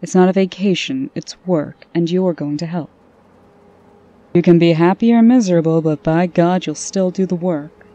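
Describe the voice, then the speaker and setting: A woman's voice: lowish voice, normal speed, dark tone, dull audio, natural voice. A woman playing a strict, commanding, no-nonsense official and elder sister in a comic dub. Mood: stern.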